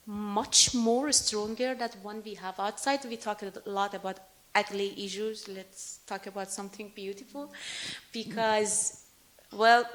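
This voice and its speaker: Earnest woman speaking haltingly. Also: fairly high pitch, a bit bright. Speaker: speaker presenting her paintings. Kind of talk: lecture Q&A answer.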